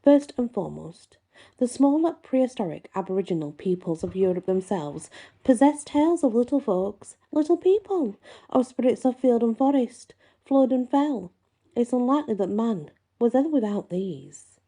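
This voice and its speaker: A woman host reading folklore aloud and musing on it, with deliberate, emphatic phrasing. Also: a little high, lively intonation, warm tone. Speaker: host and reader. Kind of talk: reading a folklore book aloud with casual asides.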